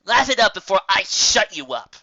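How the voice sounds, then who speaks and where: A man's voice: high-pitched, big pitch swings, moderate pace, bright, forceful tone, dry recording, highs cut off, pitched above the performer's own voice, full character voice. A man voicing a cocky, carefree young female pegasus prankster in a story, casual and flippant. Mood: annoyed.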